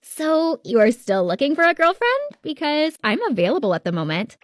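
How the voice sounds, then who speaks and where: Woman doing a mean pushy sister, high voice, bold n emphatic, storytime style. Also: very animated, normal speed. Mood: flirty. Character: brash, flirtatious, cruel elder sister.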